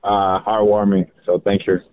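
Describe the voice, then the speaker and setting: Low, flat man on a phone line. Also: no room sound. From Honduras. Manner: halting, hesitant, devout. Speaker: caller. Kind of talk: call-in radio chat.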